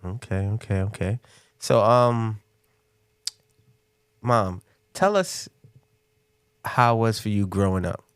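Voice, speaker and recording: A man's voice: fairly low pitch, lively intonation, slow, long pauses, smooth, no echo, studio quality. A man hosting an interview in an informal, encouraging, conversational way. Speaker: interview host. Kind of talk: casual on-camera interview.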